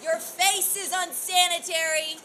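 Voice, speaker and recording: A woman's voice: extremely high pitch, fairly even intonation, unhurried. A woman playing a cranky, snappish police officer in a live audio comedy. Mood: snapping. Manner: irritable, snapping. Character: cranky, snappish female American cop. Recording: loud noise, live show.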